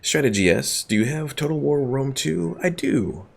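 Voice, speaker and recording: A man casually narrating a strategy game playthrough, thinking aloud and chatting with his stream viewers in a relaxed way. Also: medium pitch, moderate pace, vocal fry, slight background noise. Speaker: gaming streamer. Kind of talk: casual let's-play commentary. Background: game music.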